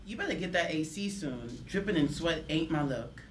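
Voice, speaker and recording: A woman's voice: low voice, animated, normal speed. A woman playing a brash, sassy, slangy girlfriend in a live comedy table read. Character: brash, unabashed girlfriend, a lover girl lounging around.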